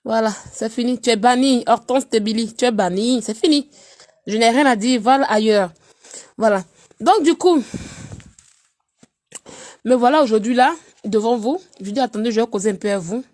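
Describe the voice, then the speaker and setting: A woman, chatting on a casual live stream while she has a cold, swinging between loud teasing and low murmurs. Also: moderate pace, long pauses.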